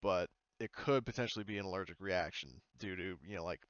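Man running a tabletop game, clear voice. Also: deep voice, fairly quick, fairly bright tone, no room sound, highs cut off. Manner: hesitant, searching for words. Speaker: game master. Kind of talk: casual tabletop roleplaying narration and chat. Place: voice chat in an online game session.

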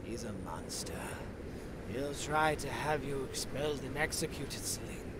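A man performs an elderly master from a fantasy game. He talks slowly in a mid-range male voice, grave and worn out, over noticeable background ambience. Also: mid-range voice, heavy background noise, natural voice. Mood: grave warning. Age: older. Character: weary, grave old male master mentor. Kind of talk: dramatic fantasy game voice acting.

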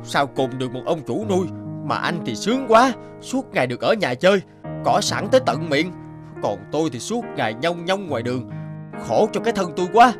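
A man's voice: fairly high pitch, very animated, a little fast. A man voicing an envious, self-pitying horse in a cartoon fable, whining and complaining. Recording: music under the voice.

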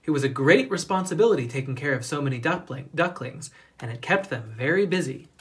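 A storybook reader narrating a children's picture book in a deliberate, expressive storytelling manner. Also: medium pitch, slight background noise.

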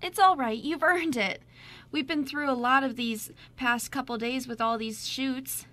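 A woman's voice: high voice, a little slow, bright, forceful tone. A woman playing a kind, encouraging fashion photographer, coaxing and reassuring, in an amateur radio play. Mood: concerned, kind.

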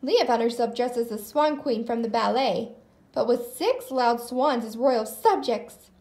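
A woman reading a children's storybook aloud as narrator and host, in an animated style. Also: fairly high pitch, a little slow. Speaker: storybook reader, channel host.

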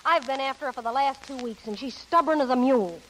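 A woman's voice: high voice, fast talker, fairly bright tone. An actress playing a breezy, tough showgirl with a flip, slangy manner in 1930s radio style. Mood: flip, impatient. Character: breezy, wisecracking showgirl friend, tough and dry. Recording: noisy 1930s radio broadcast.

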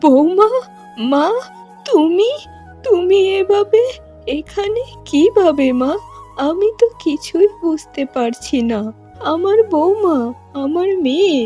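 A woman voicing a fretful, pleading older mother-in-law from a village in a cartoon, with plaintive, drawn-out exclamations. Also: extremely high pitch, lively intonation, mellow, muffled recording, soft background music, exaggerated voice. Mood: pleading, regretful. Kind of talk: cartoon voice acting.

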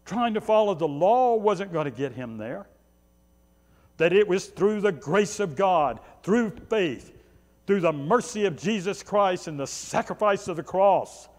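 A man's voice: sits high, animated, measured pace, darkish tone. A man in his sixties preaching a sermon as a pastor, emphatic, with short repeated phrases and conversational asides. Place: small church sanctuary, indoors. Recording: long reverb of a church hall.

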